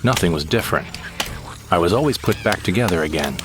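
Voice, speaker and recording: A man voicing a young sidekick who narrates his space adventure in a steady, descriptive first-person storybook style. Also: low-pitched, brisk, over music. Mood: narrating. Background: dramatic score.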